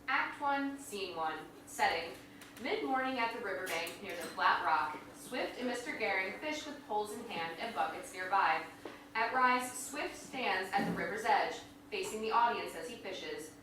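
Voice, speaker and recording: A woman's voice: mid-range voice, expressive, normal speed. A woman reading stage directions as scripted narration at a staged play reading. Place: indoor venue, staged reading. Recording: very echoey room from far off, noisy.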